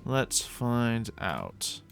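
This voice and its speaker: A man's voice: medium pitch, wildly swooping pitch, very slow, vocal fry. Man musing through game commentary over music. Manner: mock-archaic, formal fantasy phrasing. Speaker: host of a gaming series. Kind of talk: gaming commentary, thinking aloud in light roleplay.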